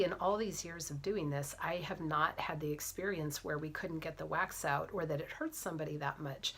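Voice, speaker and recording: Lowish-voiced woman with a cold, chatty. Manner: chatty, animated storytelling with frequent soft whispered asides. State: has a cold. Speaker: vlogger, physician assistant and fitness coach. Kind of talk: casual storytelling to camera.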